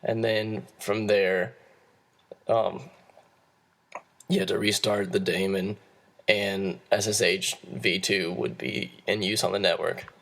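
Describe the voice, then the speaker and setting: A hoarse young man, losing his voice, giving a class presentation and explaining steps in a halting way with frequent restarts. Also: deep voice, fairly even intonation, a bit bright. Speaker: student presenter.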